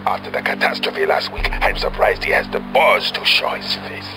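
A man's voice: normal speed, over music, lightly acted. An actor playing a volatile, foul-mouthed villain boss in a video game, a fat man, pompous and scornful. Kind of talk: video game cutscene acting.

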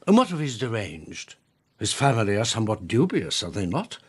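Husky, lugubrious ageing lord. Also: male voice, lowish voice, very animated, fairly quick. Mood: worried, tender. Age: older. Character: lugubrious, fretful ageing lord, tenderly devoted. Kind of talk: radio drama acting.

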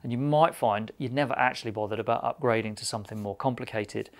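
A middle-aged British man delivers a scripted product review to camera. His mid-pitched voice has a noticeable gravelly fry. He keeps the delivery conversational and matter-of-fact. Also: fast talker. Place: indoor studio with shop display shelves.

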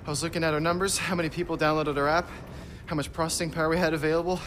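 A man's voice: fairly high pitch, level tone, rapid-fire, fairly bright tone, a little hiss or hum, lightly acted. A man playing an anxious, self-blaming young computer whiz in a video game, speaking in nervous, clipped, repetitive phrases. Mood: tense. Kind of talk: game cutscene acting.

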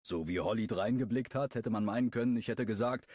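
A man's voice: on the low side, fairly quick, a little mellow, no echo, studio quality, voice pushed up, close to an everyday voice. A man voicing an exasperated young man who grumbles about his unruly dog.

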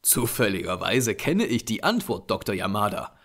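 A man's voice: on the low side, sing-song, moderate pace, a bit bright, full character voice. A man as a curt, sceptical artist. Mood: dismissive. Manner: brusque, dismissive. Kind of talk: audiobook character voice.

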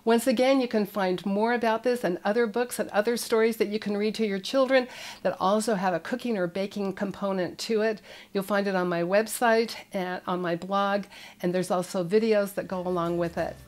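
A woman's voice: medium pitch, steady tone, moderate pace, a little mellow. An older woman hosting a parenting show, talking to camera in a friendly storytelling way, indoors in front of shelves of children's books.

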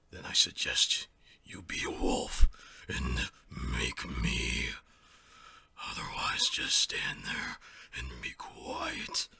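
Man acting a smug, raspy werewolf rival. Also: rumbling low, pushed and bright, exaggerated voice. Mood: menacing. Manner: theatrical, mocking, drawling. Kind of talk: ASMR audio roleplay acting.

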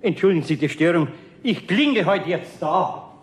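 An actor playing a good-natured older master carpenter in a radio play, gruff but kindly and plain-spoken. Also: male voice, on the high side, animated, normal speed, natural voice. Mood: awkward. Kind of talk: 1970s radio play acting.

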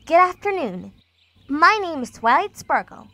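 A woman's voice: high-pitched, sing-song, unhurried, sharp tone, heavily acted. A woman voicing a studious, bossy young female unicorn in a cartoon fan dub, speaking precisely and insistently. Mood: polite, hurried.

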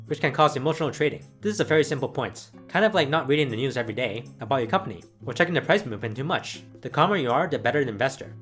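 Fast-talking male commentary narrator. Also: medium pitch, animated, a bit bright. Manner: plain explanatory delivery. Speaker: channel narrator. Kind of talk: scripted voice-over commentary. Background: background music.

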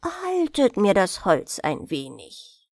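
A woman's voice: mid-range voice, huge pitch swings, slow, creaky, darkish tone, no room sound, studio quality, exaggerated voice. A woman voicing a sly, obliging male trickster servant in a fairy tale.

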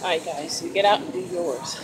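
A woman's voice: lowish voice, fairly quick. A woman in her forties filming a casual workout vlog on her phone in a gym, chatting and encouraging her viewers in an easygoing way. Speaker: vlogger. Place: indoor gym, large room. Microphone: phone camera at arm's length, wired earbuds. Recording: loud gym noise behind.

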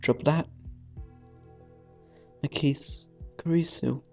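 A man's voice: fairly high pitch, fairly even intonation, very slow, gravelly, dark tone. A man hosting a let's play, reading visual novel narration aloud dramatically and stumbling over the text now and then. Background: faint game music.

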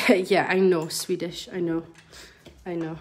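A woman's voice: fairly low pitch, flat delivery, slow pace. Scottish woman chatting through a live demo. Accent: mild Scottish. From Scotland. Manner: chatty, reads out viewer comments while working. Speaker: nail artist. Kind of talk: live tutorial demonstration. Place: indoor nail studio desk. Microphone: phone camera over the work table.

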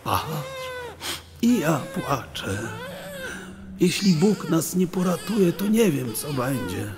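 An actor playing a kindly, despairing old sage in an audio drama, with a theatrical, grandiloquent and emotional manner. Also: male voice, on the high side, huge pitch swings, takes it slowly, heavily acted. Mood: tearful, tender. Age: older. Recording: long reverb, faint background noise.

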